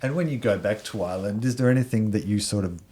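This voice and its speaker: A man interviewing a guest, asking gentle questions and offering quiet acknowledgements. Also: lowish voice, quick pace.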